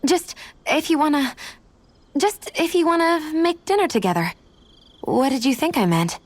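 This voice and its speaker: A woman playing a composed, quietly affectionate young adventurer in a video game, with a gently teasing manner. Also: sits high, animated, moderate pace, no echo. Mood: tentative. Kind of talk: video game cutscene acting.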